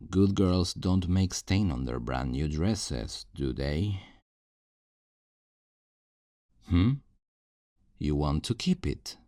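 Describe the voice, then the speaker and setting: A man acts out a controlling kidnapper with an extremely low, mellow voice that swings expressively. He is possessive and menacingly tender, coaxing and talking down to his captive in a close, dry ASMR roleplay. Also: animated, dry recording. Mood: chiding, condescending. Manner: slow, coaxing, condescending pet-name talk. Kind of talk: ASMR roleplay, intimate monologue to the listener.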